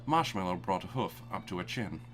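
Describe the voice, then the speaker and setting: A man's voice: deep voice, animated, fairly quick, a bit bright, breathy edge, soft background music. A man reading a story aloud live for comedy, with an irreverent manner and amused asides. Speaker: reader of fan fiction.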